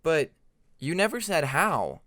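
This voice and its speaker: A man's voice: a little high, sing-song, slow, fairly bright tone, voice pushed up, exaggerated voice. A man voicing a worried, earnest male dragon shaken by nightmares, questioning others in a narrated fantasy story.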